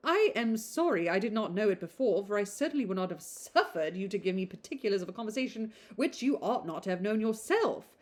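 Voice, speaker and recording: A woman voicing a principled young gentlewoman from a period novel, speaking in a formal, reproachful manner. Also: mid-range voice, animated, brisk, hardly any pauses, natural voice. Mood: indignant. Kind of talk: novel read aloud.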